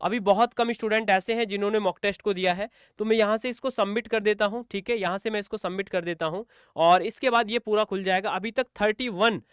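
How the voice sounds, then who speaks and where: Guy teacher, smooth voice, dry close sound, walks students thru stuff step by step, asks n answers his own questions. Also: high-pitched, steady tone, brisk, no echo. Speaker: exam coaching teacher. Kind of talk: informal instructional walkthrough for students.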